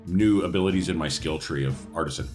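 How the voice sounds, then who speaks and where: A man's voice: low-pitched, quick pace, darkish tone, soft background music. A man chatting casually as a player in a tabletop role-playing game.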